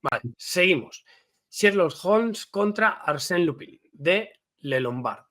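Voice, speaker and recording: A man's voice: sits high, animated, takes it slowly, a bit bright. A man hosting a casual podcast, reading out a list of comic titles one by one in short bursts. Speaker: podcast host.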